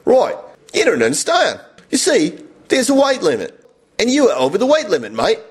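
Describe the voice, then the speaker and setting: A man's voice: sits high, wildly swooping pitch, normal speed, husky, voice pushed up, heavily acted. A man voicing an obtuse, patronizing woman with an Australian accent in a comic story. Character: smugly explaining Vietnamese-Australian woman, unperturbed and obtuse.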